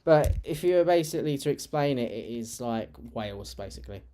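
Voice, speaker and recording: A man's voice: mid-range voice, expressive, brisk, warm tone. Rambling man, English accent with Irish touches. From England. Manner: rambling, many fillers and restarts. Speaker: streamer. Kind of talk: casual chat while gaming.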